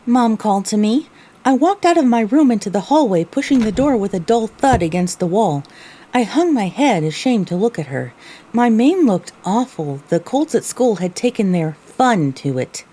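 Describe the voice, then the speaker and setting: A woman's voice: medium pitch, moderate pace, close to an everyday voice, low-quality recording. A woman playing a young female pony, a weary, sarcastic wasteland survivor narrating her own story expressively in an audio drama. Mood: downcast. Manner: expressive, theatrical. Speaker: narrator and lead character.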